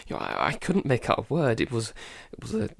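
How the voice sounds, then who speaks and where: A man playing a paranoid, isolated man in an audio drama, halting and stammering, often sighing and dropping into whispers. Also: mid-range voice, wildly swooping pitch, gravelly, no echo, full character voice. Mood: haunted, shaken. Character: paranoid isolated man unravelling under a creeping unseen menace. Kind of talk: audio drama monologue, fragmented confessional.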